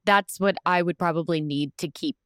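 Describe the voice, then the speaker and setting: A woman hosting a podcast, asking questions in a halting, friendly way with many restarts. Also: on the low side, fairly bright tone, clear, resonant tone, no echo, studio quality. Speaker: podcast host. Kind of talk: interviewing in casual chat.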